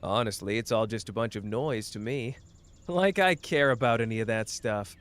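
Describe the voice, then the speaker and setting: A man's voice: lowish voice, normal speed, a bit bright, close to an everyday voice. A man playing a cocky, playful young sorcerer teacher in an ASMR roleplay, breezy and teasing as he speaks to the listener. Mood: breezy, casual. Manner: breezy, teasing, self-assured.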